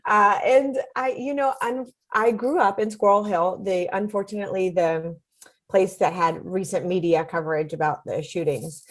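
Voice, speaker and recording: A woman's voice: mid-range voice, steady tone. An American woman in her thirties or forties, chatting informally as an interview guest on a video call, telling personal stories. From Pittsburgh, United States. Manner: chatty, informal, quick to joke. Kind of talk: casual personal storytelling.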